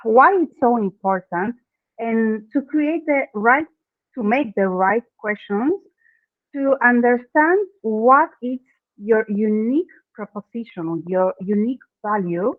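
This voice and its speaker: A woman's voice: a little high, expressive, slow pace, dry recording. Woman with an Argentine accent on a call. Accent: Argentine Spanish. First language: Spanish. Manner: earnest, explains step by step in non-native English with "okay". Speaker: guest, branding expert. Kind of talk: podcast interview. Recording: dry, phone-like remote call audio.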